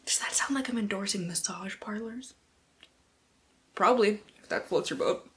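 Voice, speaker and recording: A woman's voice: mid-range voice, moderate pace, noisy background. A woman book vlogger who mixes casual, slangy chat with mock-dramatic blurb reading and playful, sweary asides to herself.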